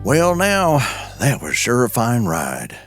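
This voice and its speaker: A man's voice: mid-range voice, wildly swooping pitch, takes it slowly, soft background music. Folksy cowboy-style male narrator. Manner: folksy, homespun cowboy talk. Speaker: host and narrator of a cowboy audio show.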